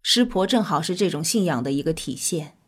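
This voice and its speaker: A woman playing a calm, earnest scientist, explaining in a measured way in an audio drama. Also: low-pitched, slightly rough. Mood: calm, reverent. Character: calm, earnest female scientist with mystical faith.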